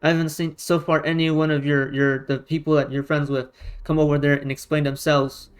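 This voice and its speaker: A man with a fairly high, clean, smooth voice gives combative online commentary. He is a non-native speaker with a Romanian or Russian first language. He sounds exasperated and presses his points with emphatic rhetorical questions. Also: flat delivery, fairly quick. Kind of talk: argumentative response commentary.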